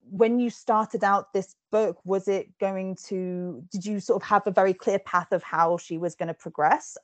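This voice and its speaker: A woman's voice: fairly low pitch, a little mellow, no echo. A woman hosting an interview, talking casually with frequent giggles, fillers and restarts over a remote call. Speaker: podcast host interviewing an author.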